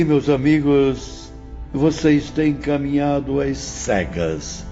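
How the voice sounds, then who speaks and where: Solemn, slow-spoken spiritual teacher. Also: male voice, medium pitch, mellow. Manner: didactic, measured, grandiloquent. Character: solemn, patient male spiritual teacher lecturing his pupils. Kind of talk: audiobook drama acting. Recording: dull lo-fi audio, faint music behind.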